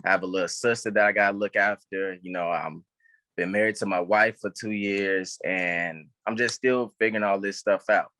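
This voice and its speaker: A young man with a bright, forward voice that sits a little low. He chats casually as an actor being interviewed. The sound is close and dry, with hardly any room in it. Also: fairly even intonation, normal speed, dry recording. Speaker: stage actor, interview guest. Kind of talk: casual chat.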